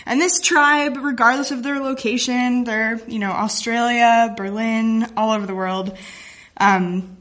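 A woman's voice: a little high, steady tone, unhurried, fairly bright tone. A woman telling a personal story to a live audience as a guest speaker, with a wry, comic delivery.

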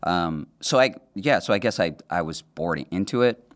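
A man born in Honduras who grew up speaking Spanish talks in a very deep voice. His pitch swings widely as he rambles through a casual conversation, laughing often and swearing offhandedly. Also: expressive, normal speed. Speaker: podcast guest. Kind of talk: casual chat.

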